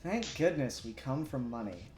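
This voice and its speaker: A man's voice: mid-range voice, sing-song, moderate pace. A man chatting casually as he hosts a live stream while working. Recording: roomy echo, music underneath. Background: music.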